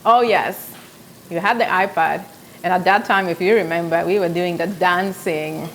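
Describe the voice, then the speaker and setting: A woman's voice: lowish voice, moderate pace, slight background noise, low-quality recording. A woman in her thirties from South Sudan, with a South Sudanese accent, hosting a live cooking show from her home kitchen and telling stories as she cooks. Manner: chatty, storytelling while cooking. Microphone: camera on tripod, medium distance.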